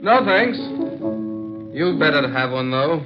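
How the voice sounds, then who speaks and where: An actor playing a suave, sinister masked gentleman, icily polite and formal, in old-time radio drama style. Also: on the high side, animated, slow pace, over loud music. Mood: mockingly genial. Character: suave, sinister masked man, coldly polite jilted husband. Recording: dull lo-fi vintage radio recording.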